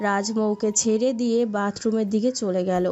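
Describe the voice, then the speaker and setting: A woman's voice: mid-range voice, fairly even intonation, fairly quick, mellow. A woman reading a scripted story as its narrator. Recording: dull muffled sound with music behind.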